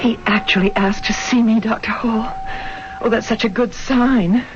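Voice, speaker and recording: An actress playing a tender, hopeful wife with an emotional, earnest manner in a 1970s radio drama. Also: female voice, fairly high pitch, fairly even intonation, moderate pace, over loud music, natural voice. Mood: hopeful, moved. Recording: dull 1970s radio recording.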